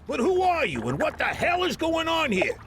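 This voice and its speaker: A man's voice: very high-pitched, wildly swooping pitch, normal speed, gravelly, fairly bright tone, a little hiss or hum, heavily acted. A man playing a wisecracking, gritty mob enforcer in a video game, with a hard-boiled delivery. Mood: cocky, irritated. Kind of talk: hard-boiled narration and game cutscene dialogue.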